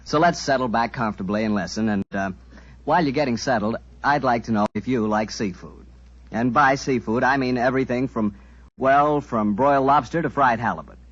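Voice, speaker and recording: A man's voice: mid-range voice, fairly quick. An American man announcing in 1940s radio style, with a folksy, chatty, salesmanlike manner. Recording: muffled 1940s radio recording.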